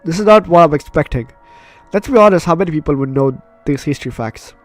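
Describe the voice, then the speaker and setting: A man's voice: fairly high pitch, animated, fast, dry recording. Man reading game text, music behind. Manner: playful, chatty asides. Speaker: gaming YouTuber. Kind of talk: let's play, reading game text aloud with casual reactions.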